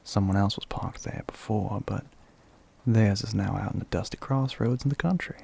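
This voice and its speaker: A man voicing a flirty, sly, gentlemanly demon with a Southern US accent in an ASMR roleplay, half-whispered, breathy and husky, with a teasing drawl. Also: fairly low pitch, exaggerated voice. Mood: smooth, teasing. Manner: slow, teasing drawl with pet names.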